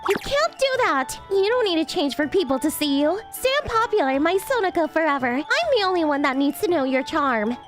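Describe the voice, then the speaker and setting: A woman's voice: sits high, very animated, brisk, hardly any pauses, soft background music. A woman voicing a sweet, earnest, devoted teenage girl in a manga dub. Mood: affectionate.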